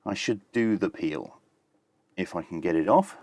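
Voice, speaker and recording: A man's voice: deep voice, expressive, moderate pace, a little mellow, no echo. A man presenting a casual hands-on product review, plain-spoken and methodical, with dry humour.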